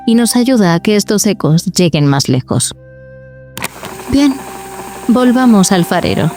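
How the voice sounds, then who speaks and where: Casting: female podcast host, Spain accent, very mellow mid-range voice, enigmatic scripted delivery. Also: medium pitch. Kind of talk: scripted narration.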